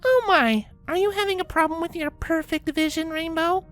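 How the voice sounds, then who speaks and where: A woman's voice: extremely high pitch, normal speed, fairly bright tone, faint music behind. A woman voicing a prim, bookish young pony princess in a fan fiction reading, precise and lecturing, patient but exasperated. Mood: teasing. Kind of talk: character acting in a fan fiction audio reading.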